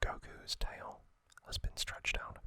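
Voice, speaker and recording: A man whispering gently in an ASMR style, hosting with frequent pauses, close to the microphone. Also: no room sound. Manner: slow, gentle delivery with pauses. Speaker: host of an ASMR trivia channel.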